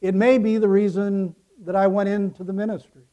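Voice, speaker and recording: A man raised in Ecuador by American parents preaches as a pastor, speaking deliberately in emphatic phrases that trail off softly. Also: high voice, level tone. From Ecuador, raised by American missionary parents. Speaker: pastor preaching to his congregation. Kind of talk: sermon.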